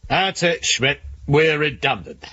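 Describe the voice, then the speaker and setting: An actor playing a dogged, sceptical police inspector in a 1970s radio drama, probing and blunt with dry defiance. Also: male voice, a little high, slow pace, sharp tone, heavily acted. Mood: disgruntled. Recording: dull, noisy old radio recording.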